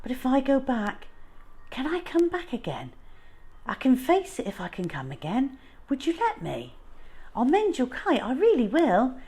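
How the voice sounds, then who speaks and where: A woman's voice: high voice, wildly swooping pitch, unhurried, mellow, husky, pitched above the performer's own voice, full character voice. Woman reading as an earnest, wistful runaway schoolboy. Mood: hopeful, pleading. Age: child. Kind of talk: storybook character reading.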